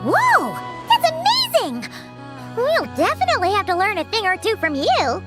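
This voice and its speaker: Squeaky tiny game companion. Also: female voice, wildly swooping pitch, normal speed, full character voice. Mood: excited. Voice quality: squeaky, indignant. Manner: speaks of herself in third person. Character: small hovering female companion, bossy and excitable. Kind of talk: game cutscene voice acting. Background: game music.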